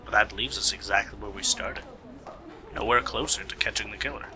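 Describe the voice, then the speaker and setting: A man's voice: fairly low pitch, fairly quick, a crowd in the background, lightly acted. A man playing an earnest, questioning investigator in an amateur radio mystery. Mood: puzzled. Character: earnest, questioning male investigator, detective's partner. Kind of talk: amateur radio play acting.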